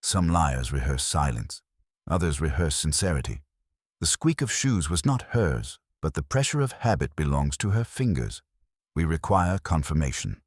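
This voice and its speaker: A man's voice: very deep voice, very animated, normal speed, slightly rough, dry recording, clean studio sound, full character voice. A man voicing a stern, ponderous older master detective in an audiobook mystery, with formal, precise diction. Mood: pronouncing, certain. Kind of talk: audiobook mystery narration dialogue.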